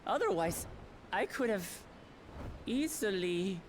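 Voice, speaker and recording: A woman's voice: medium pitch, very animated, slow pace, noisy background. An actress playing a brash, boastful young warrior woman in a video game, hearty and blunt, speaking in exclamations.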